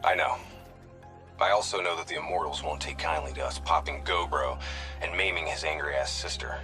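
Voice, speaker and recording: A man's voice: very deep voice, normal speed, bright, forceful tone, soft background music, heavily acted. A man playing a cynical, short-tempered soldier in a video game cutscene, blunt and sardonic. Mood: grim, low.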